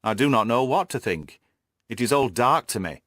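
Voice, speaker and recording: A man's voice: medium pitch, lively intonation, normal speed, a bit bright, dry recording. A man performs an anguished banker in an audiobook. He sounds earnest, bewildered and pleading, with strongly varied intonation in a dry, close recording. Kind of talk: audiobook character dialogue.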